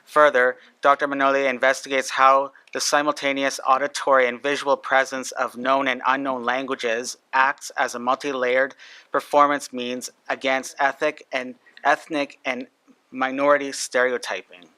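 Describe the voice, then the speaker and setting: A man moderating a panel, introducing the next speaker in a measured, even way. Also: medium pitch, clean tone, no echo, poor audio.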